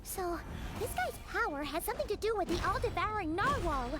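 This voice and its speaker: A woman voicing a tiny, excitable, childlike fairy companion with a squeaky, chirpy voice in a video game. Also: fairly quick, heavy background noise. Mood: realizing, curious.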